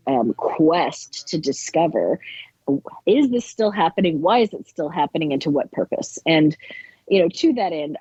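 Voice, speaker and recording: A woman's voice: deep voice, a little mellow, husky, dry recording, muffled recording. A woman author speaking as an interview guest, explaining things in a scholarly but colloquial way. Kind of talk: interview conversation on spiritual folklore.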